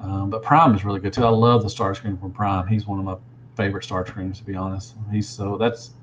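A man's voice: lowish voice, muffled recording, background noise. Laid-back Southern man with a drawl. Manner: relaxed, rambling, drawn-out fillers, says "man" a lot. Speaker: toy reviewer answering live chat. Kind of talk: casual livestream chat. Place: small room at home, indoors. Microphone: gaming headset.

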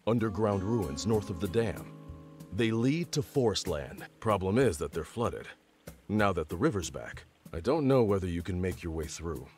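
A gruff, shrewd army officer from a video game. His voice sits low and moves expressively, with a matter-of-fact way of laying things out. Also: lively intonation, moderate pace, darkish tone, faint music behind.

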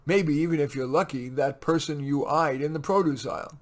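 Man in his 60s, slightly high voice, measured and wry, scripted advice talk, webcam in a home office. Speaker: host. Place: small home office, indoors.

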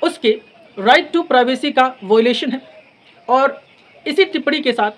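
A young man giving an explanatory law lecture, speaking deliberately phrase by phrase and repeating for emphasis. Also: extremely high pitch, a bit bright. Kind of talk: explanatory lecture with English legal terms mixed in. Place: in front of a classroom blackboard. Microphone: lapel mic.

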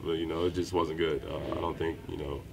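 A young man answering questions at a postgame press interview, speaking in a low-key, measured way with frequent fillers. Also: flat delivery, vocal fry, background noise. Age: young adult. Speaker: college basketball player. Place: small indoor room by a wall. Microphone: handheld reporter mics held close.

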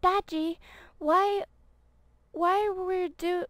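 A woman's voice: very high voice, fairly even intonation, drawn-out words, fairly bright tone, no room sound, pitched above the performer's own voice, exaggerated voice. A young woman voicing an earnest, sweet young anime girl with emphatic delivery.

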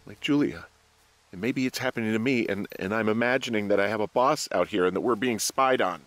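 An actor playing an anxious, unsure fire lookout in a video game, talking in a natural, hesitant way. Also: male voice, on the low side, fairly quick, fairly bright tone, close to an everyday voice. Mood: panicked, doubting. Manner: hesitant, conversational. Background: game music.

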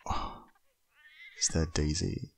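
A man playing a caring, sleepy young father and ex-boyfriend in an ASMR audio roleplay, gentle and hesitant, with a low husky voice. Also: slow pace, close to an everyday voice. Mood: sleepy, puzzled.

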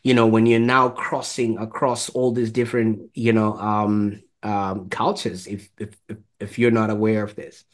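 A man's voice: on the low side, little pitch movement, normal speed, hardly any pauses. Thirties male host, video call from a home study, affirming, long-winded questions. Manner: affirming, rambling questions with many "you know"s.

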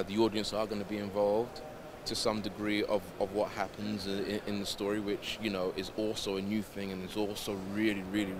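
A man in his thirties interviewed at a busy indoor event, talking eagerly and repeating words. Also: lowish voice. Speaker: actor interviewed. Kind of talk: casual interview. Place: large indoor hall, event. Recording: noisy hall with vehicles.

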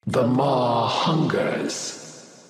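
Game monster: huge, hungry, menacing growl, drawn out, cavernous reverb, music behind. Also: male voice, mid-range voice, extremely slow, noisy background. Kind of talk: video game villain lines. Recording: echoing long reverb over music.

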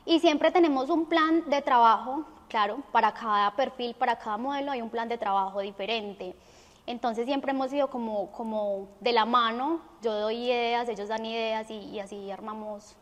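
A young woman with a Colombian accent answers questions in a conversational way. Her voice sits fairly high. The studio around her leaves a noticeable reverb on the sound. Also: normal speed. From Armenia, Colombia. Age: young adult. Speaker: interview guest. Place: indoor studio set. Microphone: lapel mic.

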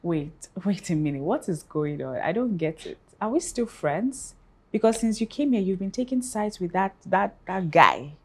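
A woman's voice: medium pitch, lively intonation, normal speed, husky. An actress with a Nigerian accent playing a feisty, combative young woman in a film drama. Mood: annoyed, defensive. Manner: combative, indignant, sharp-tongued. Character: feisty, fed-up young woman bickering with her flatmate.

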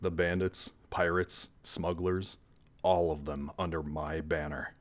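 A man playing a cold, scheming, grandiose villain in an audio drama, theatrical and deliberate. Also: low-pitched, fairly even intonation. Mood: sinister, grandiose.

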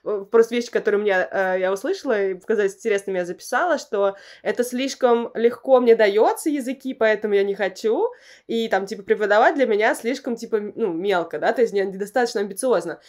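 A woman in her thirties chats over a video call at a quick clip, her pitch sitting in the middle range. She talks loosely, searching for words and laughing as she goes. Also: darkish tone. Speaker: host. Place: home room with guitar on wall.

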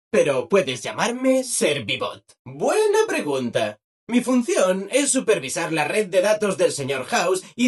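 A man's voice: high-pitched, breathy edge, full character voice. A man with a Castilian Spanish accent voicing a relentlessly cheerful, eager-to-please robot assistant in a video game, with bubbly, exaggerated enthusiasm. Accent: Castilian Spanish, from Spain. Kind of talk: dubbed video game dialogue.

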